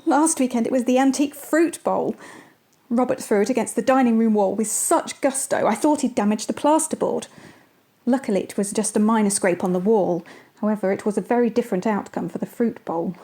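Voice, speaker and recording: A woman's voice: fairly high pitch, brisk, dark tone, natural voice. A woman playing a fraught, bitter wife in a dramatic monologue for an amateur radio play. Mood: distressed, agitated. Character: fraught wife recounting smashed crockery and marital rows.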